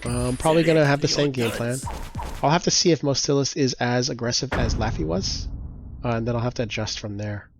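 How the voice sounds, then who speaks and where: A man's voice: mid-range voice, a little fast, noisy background. A congested man casually commentating his own game matches, explaining his plans with lots of fillers. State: congested, slightly stuffy.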